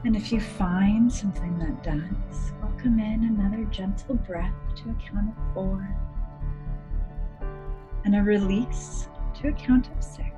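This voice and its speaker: A woman leading a guided meditation as a psychologist, speaking in a gentle, measured, soothing manner with deliberate pauses. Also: medium pitch, rough recording. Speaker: clinical psychologist guiding a meditation. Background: music.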